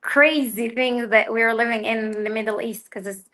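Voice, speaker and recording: A young woman talks as an interview guest in a bright, fairly high voice. She speaks haltingly, restarting her sentences and filling the gaps with fillers. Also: fairly even intonation. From Israel. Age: young adult. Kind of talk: casual interview conversation.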